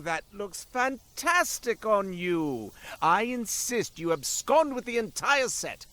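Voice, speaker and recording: A flamboyant, devilish peddler from a video game, grandiose and wheedling. His delivery is theatrical and florid, leaping wildly in pitch at a leisurely pace. The sound is dry and close, with the polish of a salesman's patter. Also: a bit bright, no echo, full character voice. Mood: gushing. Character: flamboyant devilish roadside curio merchant, male, wheedling and grandiose. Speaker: merchant.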